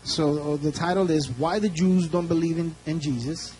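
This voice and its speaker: A man's voice: on the high side, steady tone, warm tone. A man interpreting a sermon live, following the preacher phrase by phrase, often restarting his sentences. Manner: quick, restarting, echoes the speaker phrase by phrase. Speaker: church interpreter.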